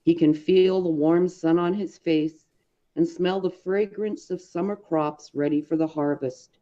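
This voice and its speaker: A woman narrates a story in a dark, soft-edged voice. Her reading is expressive and deliberate, with dramatic phrasing. The recording is somewhat dull and lo-fi. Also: fairly low pitch. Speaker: audiobook reader.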